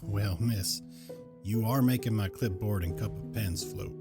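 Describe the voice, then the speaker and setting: Audio drama police officer, male actor, deep-pitched, weary and matter-of-fact, short on patience. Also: measured pace, over loud music. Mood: weary, impatient. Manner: dry, matter-of-fact, folksy.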